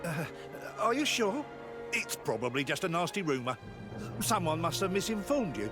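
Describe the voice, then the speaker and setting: A man's voice: fairly high pitch, sing-song, moderate pace. A man voicing a genteel wine merchant in a video game, polite and salesmanlike but evasive. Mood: evasive, uneasy. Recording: music behind the voice.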